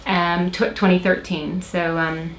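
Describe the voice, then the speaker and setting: A woman's voice: on the low side, flat delivery, slow pace. Echoey American woman with a hint of Irish. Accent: American with a slight Irish lilt. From Oklahoma, USA. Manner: hesitant, many fillers and restarts. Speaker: interview guest. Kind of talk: casual personal storytelling. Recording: roomy echo, faint background noise.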